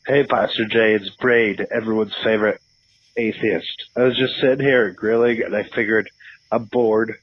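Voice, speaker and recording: Man playing a husky, cocky radio caller. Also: on the low side, steady tone, normal speed, no room sound. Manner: dismissive, sneering. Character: cocky, crude, belligerent male atheist caller. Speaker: phone-in caller. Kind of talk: comedic argumentative call-in. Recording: slightly dull phone-in sound.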